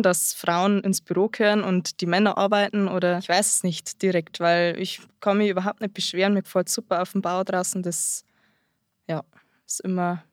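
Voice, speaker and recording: A woman's voice: medium pitch, no room sound, studio quality. A nineteen-year-old woman answering interview questions about her trade in a casual, hesitant way, with frequent fillers and restarts. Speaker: guest, apprentice-trained carpenter.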